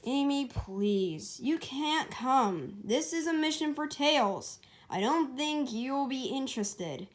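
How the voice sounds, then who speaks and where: A woman's voice: sits high, lively intonation, takes it slowly, few pauses, pitched above the performer's own voice. A woman voicing a grumpy, blunt, easily flustered male hedgehog in an amateur comic dub storybook reading, exasperated and emphatic. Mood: blunt, annoyed.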